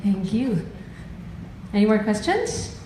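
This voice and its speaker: A woman's voice: medium pitch, big pitch swings, slow pace, dark tone. A woman informally hosting a press conference and inviting questions from the floor. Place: press conference room, on microphone. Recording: echoey room with faint noise.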